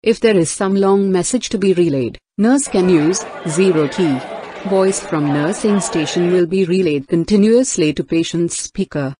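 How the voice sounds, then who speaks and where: A woman's voice: fairly low pitch, normal speed, background noise. A woman giving a formal, instructional scripted product demo narration. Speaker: product demonstrator.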